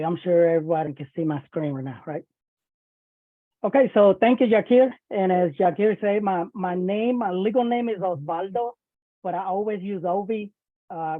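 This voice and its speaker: A man's voice: high-pitched, no room sound. A man, giving a casual webinar talk over a video call, often repeating and restarting his words. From Puerto Rico. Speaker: webinar speaker, cybersecurity professional. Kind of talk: casual presentation. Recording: thin phone-like online call audio.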